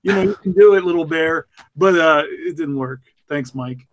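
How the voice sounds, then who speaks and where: A man's voice: a little high, moderate pace. A man on a podcast panel chatting casually in a jokey, rambling, emphatic way. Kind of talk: casual group chat.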